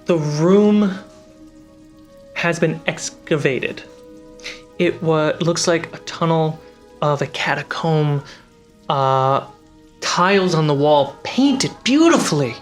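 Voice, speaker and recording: Game master for a fantasy tabletop session; slow, halting descriptive delivery, music and rain underneath. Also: mid-range voice, lively intonation. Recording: music and rain sounds behind. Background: music, rain effects.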